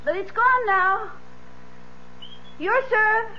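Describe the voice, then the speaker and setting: A woman's voice: very high-pitched, drawn-out words, soft background music, exaggerated voice. An actress playing a vivacious, sporty wife in her twenties in a 1970s radio drama. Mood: brushing off worry. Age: 20s. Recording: muffled, noisy old radio recording.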